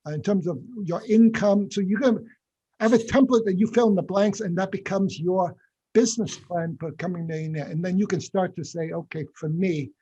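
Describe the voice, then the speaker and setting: A man's voice: high-pitched, brisk, dark tone, husky, muffled recording. A man hosting an online finance class, chatty and laughing often, restarting his sentences as he talks with attendees. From Boston area. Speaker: lecturer hosting a finance session. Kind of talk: casual online class chat.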